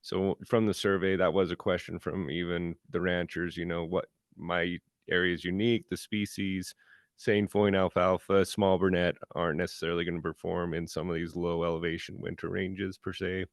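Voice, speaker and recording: Deep, gravelly man asking haltingly. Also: little pitch movement, no room sound, studio quality. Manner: halting, searching for words. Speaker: meeting participant. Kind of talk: meeting discussion. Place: conference room.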